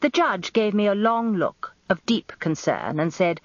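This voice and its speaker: An actress playing a genteel, self-important middle-aged English wife, narrating a radio drama in an arch, confiding, expressive way. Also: female voice, medium pitch, measured pace, dry recording, lo-fi sound, close to an everyday voice. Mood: gossipy.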